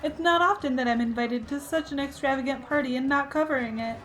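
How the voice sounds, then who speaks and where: A woman playing an outspoken, skeptical reporter in a radio drama, forthright and sharp-tongued. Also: high-pitched, brisk, a little hiss or hum, low-quality recording. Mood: poised, skeptical. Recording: music behind.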